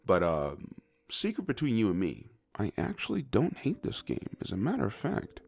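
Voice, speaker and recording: A man's voice: lowish voice, huge pitch swings, moderate pace, gravelly, a little mellow, breathy edge. A man narrating a scripted comedic video review, with sarcastic, profane, conversational asides.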